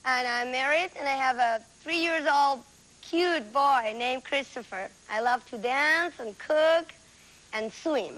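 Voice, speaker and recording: Bright high-pitched woman with Peruvian Spanish accent. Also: slow, poor audio. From Peru. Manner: excitable, non-native English phrasing. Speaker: game show contestant. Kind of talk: chatty unscripted game show answers.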